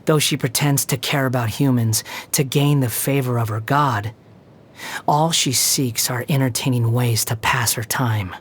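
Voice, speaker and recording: An actor playing a scornful, sardonic young male immortal wanderer in a video game, with a husky voice. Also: medium pitch, fairly even intonation, a little slow. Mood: disdainful, bored. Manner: haughty, sardonic, sneering. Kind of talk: scripted video game character voice lines.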